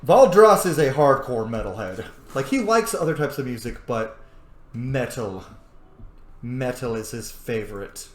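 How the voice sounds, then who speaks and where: A man's voice: medium pitch, expressive, a little slow, echoey room. A man giving casual, chatty gameplay commentary, exclaiming and swearing as he plays. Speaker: let's player narrating gameplay.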